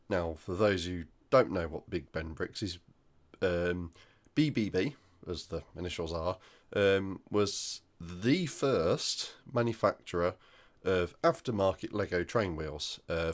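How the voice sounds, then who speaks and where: A man with a croaky voice from flu, hosting a hobby news roundup in a casual, hesitant way with many ums. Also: low voice. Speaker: hobby channel host.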